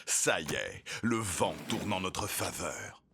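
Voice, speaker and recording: Cartoon casting: pompous villain businessman, low, drawling slowly, mocking and smug, music behind. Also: big pitch swings, creaky, noisy background, heavily acted. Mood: gloating. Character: smug, gloating male businessman villain, falsely polite. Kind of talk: animated cartoon dub.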